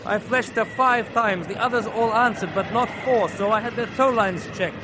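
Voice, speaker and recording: An actor playing an anxious, dutiful submarine watch officer in a wartime radio drama, reporting in a raised, urgent voice. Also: male voice, high voice, normal speed, close to an everyday voice. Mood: urgent, worried. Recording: loud stormy outdoor bridge noise.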